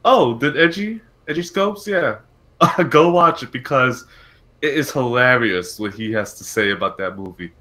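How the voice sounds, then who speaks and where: Bright-voiced man chatting on stream. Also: mid-range voice, animated, measured pace. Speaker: podcast co-host. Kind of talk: casual live stream chat.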